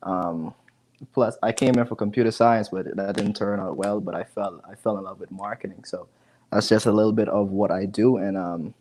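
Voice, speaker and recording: A man's voice: lowish voice, steady tone, moderate pace, darkish tone, a little hiss or hum. A man, chatting casually as an interview guest on a video call from home, often repeating words as he goes. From the Bahamas. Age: 20s. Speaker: guest, digital marketing specialist. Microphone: earphone headset.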